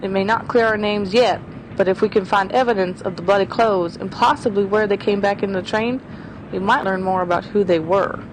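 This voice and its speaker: A woman voicing a sharp young schoolteacher sleuth in an old-time style radio drama, reasoning her way through a murder. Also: mid-range voice, brisk, natural voice. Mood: tense. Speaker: voice actor. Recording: noisy radio drama recording.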